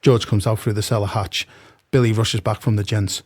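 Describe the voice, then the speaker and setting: Male narrator, low pitch, even delivery, dry close sound, matter-of-fact drama narration. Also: normal speed, no room sound. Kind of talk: scripted narration of stage directions.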